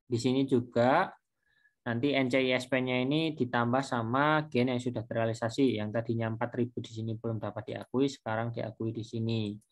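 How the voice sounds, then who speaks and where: A man's voice: medium pitch, steady tone, moderate pace. A man giving an informal step-by-step lesson as a lecturer, hesitant and often searching for words. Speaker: accounting lecturer.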